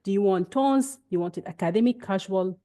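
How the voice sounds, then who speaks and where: A young woman whose first language is Arabic, presenting a webinar and walking through software on screen in a chatty, explanatory way with frequent restarts. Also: mid-range voice, a little fast. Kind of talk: screen-share software demonstration.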